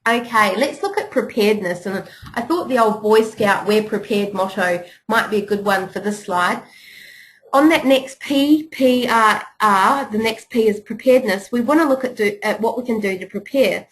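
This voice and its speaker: A woman's voice: medium pitch, moderate pace, poor audio. A woman with a New Zealand accent presenting a business webinar in a chatty, encouraging way, with frequent "you know" and "um" fillers. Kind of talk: business preparedness webinar talk.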